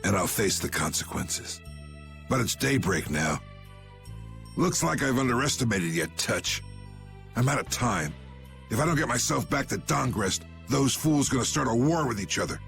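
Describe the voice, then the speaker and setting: A man voicing a gruff, commanding old guild boss in a video game, folksy, drawling and stubborn, with a gravelly, husky voice. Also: mid-range voice, lively intonation, moderate pace, a bit bright, faint music behind. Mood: grimly resolved. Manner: folksy, drawling, plain-spoken. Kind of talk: video game dub acting.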